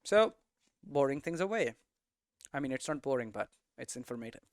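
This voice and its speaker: A man's voice: medium pitch, expressive, normal speed, no echo, studio quality. A man giving an informal lesson, thinking aloud conversationally and often checking in with tags like "right?". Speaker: game development streamer and teacher.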